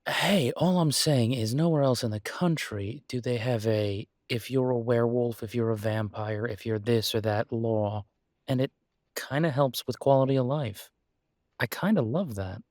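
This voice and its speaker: A man's voice: mid-range voice, normal speed, a little mellow, no echo, clean studio sound, close to an everyday voice. A man playing an easygoing, supportive boyfriend in an intimate one-sided roleplay audio, talking casually and reassuringly with folksy turns of phrase. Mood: affectionate, casual.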